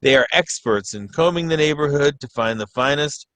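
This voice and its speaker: A man's voice: moderate pace, no echo, lightly acted. A man as a polished, dry-recorded radio announcer. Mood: earnest selling. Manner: polished, deadpan sales pitch. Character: smooth-selling announcer of a parody cafe ad. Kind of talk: scripted radio commercial parody.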